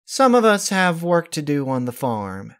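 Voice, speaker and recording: A man's voice: fairly high pitch, big pitch swings, measured pace, fairly bright tone, voice pushed up, full character voice. A man voicing an earnest, fussing young country pony daughter in a story reading, with an eager folksy manner. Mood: mildly exasperated. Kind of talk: dramatized fan-fiction reading.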